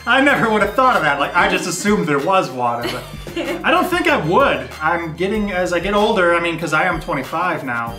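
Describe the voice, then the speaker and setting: A man's voice: sits high, a little fast. A young man hosting a web show, chatting casually and jokily as he answers viewer questions. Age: young adult. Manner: animated, jokey, informal. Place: small indoor room with poster wall. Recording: roomy echo, music underneath.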